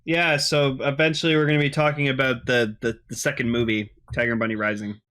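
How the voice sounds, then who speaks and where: A man co-hosting a podcast in casual fan chat, talking excitably and swearing freely. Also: mid-range voice, quick pace. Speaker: podcast co-host.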